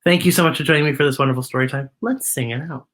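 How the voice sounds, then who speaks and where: A woman's voice: very deep voice, very fast. A woman hosting a story time for young children, talking expressively and putting questions to her listeners. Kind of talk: animated picture-book storytelling for young children.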